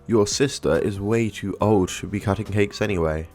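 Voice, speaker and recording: A man voicing a demanding, pushy middle-aged aunt who makes bossy demands in a fairly low voice. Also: normal speed, a little mellow, faint music behind, lightly acted.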